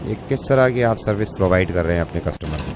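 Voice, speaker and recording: A man's voice: sits low, lively intonation, fast. A man playing an exasperated airline passenger complaining insistently on a prank phone call, over a noisy drone. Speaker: prank caller. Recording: noisy line with vehicle drone.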